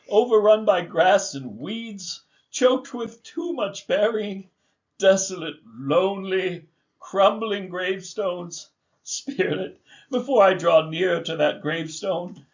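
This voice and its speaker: A man acting a frightened old miser pleading with a ghost, tremulous, halting and melodramatic, in a theatrical radio drama. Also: sits high, dull audio. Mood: dread.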